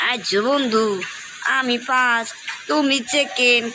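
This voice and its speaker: A woman with a Bangladeshi accent voicing a small, plucky, teasing tortoise in a cartoon story, with drawn-out, repetitive phrasing. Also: on the high side, fairly even intonation, background noise, close to an everyday voice. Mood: triumphant teasing. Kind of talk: amateur cartoon story voice acting.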